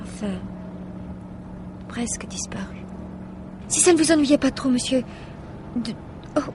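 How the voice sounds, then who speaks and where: Casting: anxious married traveller in radio mystery, fairly high voice, halting delivery, faint vehicle noise behind. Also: female voice. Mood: hesitant pleading. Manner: hesitant, breaking off and restarting sentences. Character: anxious, hesitant married woman traveller confiding in her driver. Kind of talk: French radio mystery drama acting.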